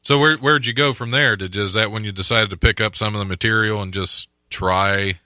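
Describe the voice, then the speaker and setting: A man co-hosting a podcast, a newer comic, asking questions in a halting, stumbling way. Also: lowish voice, steady tone, sharp tone, no room sound, clean studio sound. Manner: hesitant, stumbling, asks questions. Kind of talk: casual podcast interview.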